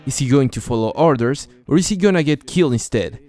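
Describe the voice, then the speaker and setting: A man's voice: mid-range voice, lively intonation, fast, mellow, soft background music. A man reviewing a comic book as a channel host in earnest, scripted narration, often posing rhetorical questions to the viewer.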